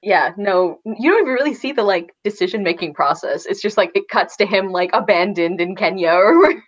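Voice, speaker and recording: A woman's voice: mid-range voice, brisk. Dull-sounding woman in a casual book chat. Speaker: book discussion panelist.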